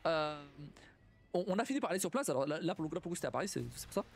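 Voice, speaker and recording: A young man streaming casually and reacting to stories, talking in a halting way, repeating words and laughing often. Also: fairly high pitch, animated, slight background noise.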